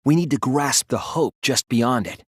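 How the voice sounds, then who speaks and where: A man voicing an earnest young male detective in a video game, thoughtful and anguished but resolute, with deliberate, analytical phrasing. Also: medium pitch, slightly rough, dry recording, studio quality, lightly acted. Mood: analytical, serious. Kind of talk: dramatic video game voice acting, deductive explanation.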